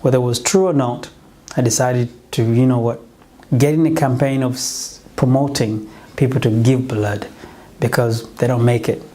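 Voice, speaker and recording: A middle-aged man from Uganda, not a native English speaker, giving an earnest motivational pitch as a business coach, often restarting and correcting himself. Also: steady tone, moderate pace, warm tone.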